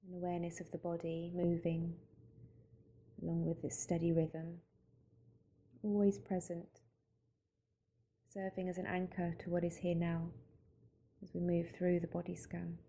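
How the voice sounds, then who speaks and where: Female meditation guide, lowish and very warm, relaxed tone, calm slow phrasing, gentle instruction. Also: slight background noise. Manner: calm, unhurried, gentle instruction with long pauses.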